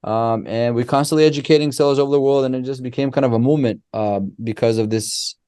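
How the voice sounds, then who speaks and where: A man, a podcast guest who explains ideas through animated, restart-filled stories. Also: medium pitch, quick pace, clear, resonant tone, no echo, studio quality. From Israel. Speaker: guest, company co-founder. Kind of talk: casual podcast interview.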